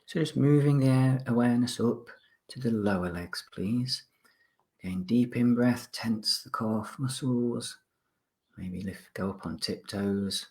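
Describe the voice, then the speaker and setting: A man instructing listeners through a guided body scan relaxation, speaking politely in short phrases with pauses. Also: medium pitch, darkish tone.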